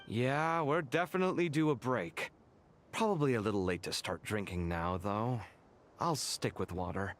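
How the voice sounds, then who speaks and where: An actor playing an amiable, considerate, chatty and weary young colleague in a video game. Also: male voice, medium pitch, normal speed, a little hiss or hum, natural voice. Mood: friendly, tired.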